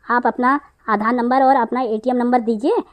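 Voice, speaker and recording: A woman's voice: a little high, fairly even intonation, normal speed, natural voice. A woman voicing a pushy male phone scammer who poses as an officious bank manager in a comic cartoon. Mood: insistent. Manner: persistent, wheedling, officious.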